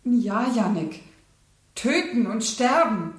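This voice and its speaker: An actress playing a grave, devoted and resolute woman revolutionary in a German radio play, speaking in a measured, earnest way. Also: on the high side, very animated. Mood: solemn. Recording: echoey, roomy radio play sound.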